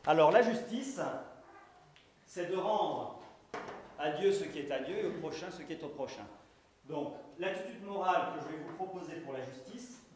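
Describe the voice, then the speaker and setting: A man in his thirties giving a lecture in a library room, earnest and halting, with many restarts and repeated words. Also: on the high side, darkish tone, slight background noise. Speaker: conference speaker. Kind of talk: lecture talk. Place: library room with bookshelves, indoors. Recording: echoey room from a distance.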